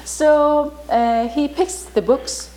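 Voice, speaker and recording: Woman from Iran, halting storyteller. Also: high-pitched, steady tone, mellow, slight background noise, low-quality recording. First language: Turkish. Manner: halting, many fillers, vivid retelling with acted quotes. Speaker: storyteller teaching about Rumi. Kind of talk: informal talk.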